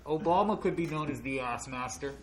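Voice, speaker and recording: A man co-hosting a podcast, tossing out loud jokey remarks. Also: on the high side, a little fast, a crowd in the background. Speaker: podcast co-host. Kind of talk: joking banter with friends. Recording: echoey room.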